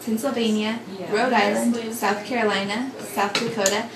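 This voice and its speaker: A woman's voice: fairly high pitch, steady tone, moderate pace, crowd noise. Easygoing young woman, echoey room. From Arizona. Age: young adult. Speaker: study participant. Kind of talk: reading word lists, casual chat. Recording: echoey room, distant mic.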